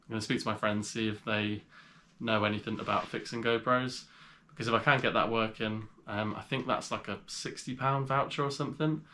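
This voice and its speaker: A man's voice: fairly low pitch, a little hiss or hum. Nasal man with a cold. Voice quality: slightly nasal. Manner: relaxed, rambling, with frequent pauses. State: has a cold. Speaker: reseller vlogger. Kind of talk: casual vlog talk showing items to camera.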